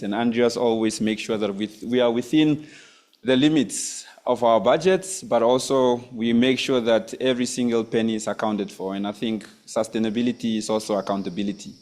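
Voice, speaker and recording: A man's voice: medium pitch, a little mellow. A man chairing a society's annual meeting, formal and polite with a few hesitations. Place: meeting hall.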